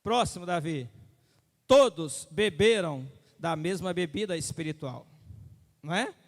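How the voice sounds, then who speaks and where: A pastor preaches with emphatic repetition, pressing his listeners again and again to pay attention. His voice sits a little high for a man. It rings out in a hall with a long reverb trailing after each phrase. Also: lively intonation, a little slow. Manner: emphatic preaching, repeating phrases, asking the crowd if they follow. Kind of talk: sermon.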